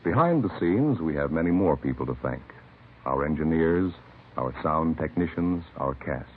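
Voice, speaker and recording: A husky American man announcing in a formal, scripted 1950s radio style, solemn and measured. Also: a bass voice, expressive. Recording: narrow-band vintage radio broadcast.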